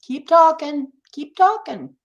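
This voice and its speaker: A woman's voice: high-pitched, animated, slow, dull audio. A woman hosting a live stream, chatting casually and encouraging her guest, repeating herself. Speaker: stream host. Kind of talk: casual chat.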